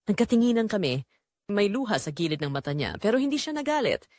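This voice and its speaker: A woman's voice: mid-range voice, big pitch swings, fast, darkish tone, no echo. A woman with a Filipino accent narrating a scripted first-person drama in an earnest, emotive way.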